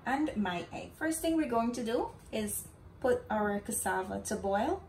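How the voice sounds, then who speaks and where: A woman with a Guyanese accent hosting a home cooking tutorial, giving calm step-by-step instructions and often addressing viewers as "guys". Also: fairly high pitch, unhurried. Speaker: cooking channel host. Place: home kitchen. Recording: home recording with audible kitchen noise. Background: dishes, pots and kitchen clatter.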